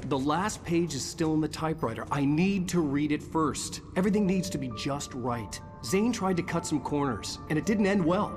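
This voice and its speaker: An actor playing a haunted, weary but determined horror novelist, grave and deliberate in scripted game drama. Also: male voice, a little high, lively intonation, music in the background, close to an everyday voice. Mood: resolute.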